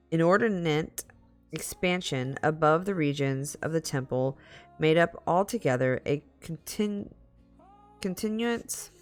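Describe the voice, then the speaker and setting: A woman livestream host reading aloud in a halting way, stumbling over long words. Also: low voice, vocal fry. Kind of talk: dramatic story reading with casual chat asides.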